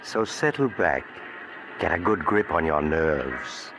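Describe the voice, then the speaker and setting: A man voicing a sinister, calm narrator in a 1940s radio drama. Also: exaggerated voice, poor audio. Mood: ominous. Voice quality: low, measured, ominous delivery. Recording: old radio broadcast with music behind.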